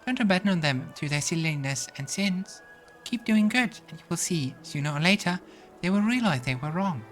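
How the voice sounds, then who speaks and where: A man voicing a frail young elf girl who preaches kindness in a gentle, delicate, earnest way for a fantasy game. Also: high-pitched, animated, moderate pace, voice pushed up. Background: game music.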